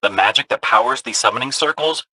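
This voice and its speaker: A man's voice: medium pitch, expressive, fast talker, background noise, close to an everyday voice. A man voicing an eager, earnest little robot companion in a video game, chatty and easily awed. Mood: earnest, curious. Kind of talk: animated video game dialogue.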